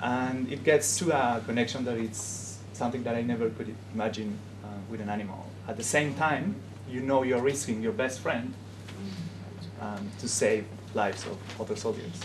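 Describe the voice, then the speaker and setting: A young man with a Peruvian Spanish accent addresses a class, pausing often on "um" as he explains. His voice sits at an ordinary pitch. Steady noise runs underneath the classroom recording. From Peru. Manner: halting, with frequent "um" pauses. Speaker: guest speaker. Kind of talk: informal talk to a class. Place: classroom, indoors. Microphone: camera in the room.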